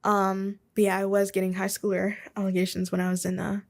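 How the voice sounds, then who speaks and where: A woman's voice: mid-range voice, monotone, brisk, gravelly. A woman in her twenties hosting a casual solo podcast from her home office, rambling and self-deprecating with chuckles and asides. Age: 20s. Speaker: podcast host.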